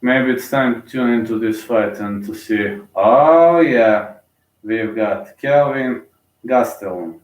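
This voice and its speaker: A man's voice: mid-range voice, slow, far from the mic. Bulgarian man, casual fight commentary, loose and rambling, roomy echo. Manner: rambling, thinks aloud with many fillers and restarts.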